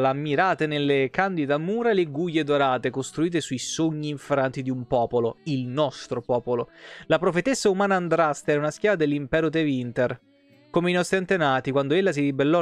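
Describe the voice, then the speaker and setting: A man, a game streamer reading lore aloud with casual, irreverent asides. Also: on the high side. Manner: irreverent, foul-mouthed asides. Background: background music.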